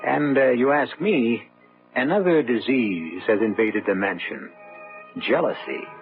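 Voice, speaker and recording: A man narrating a radio drama as its host in a measured, theatrical, ominous way. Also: mid-range voice, animated, soft background music. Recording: narrow tinny old radio broadcast.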